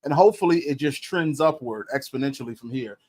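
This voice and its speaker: A man's voice: medium pitch, fast talker, darkish tone. A man co-hosting a podcast, talking sports casually, weighing his words with frequent ums and hedges. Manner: thoughtful, hedging, with frequent ums. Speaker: podcast co-host.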